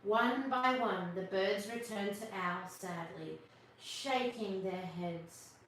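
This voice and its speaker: A woman reading a picture book aloud to children as host, with expressive narration and asides to young listeners. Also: medium pitch, takes it slowly. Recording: distant, very echoey room, faint noise.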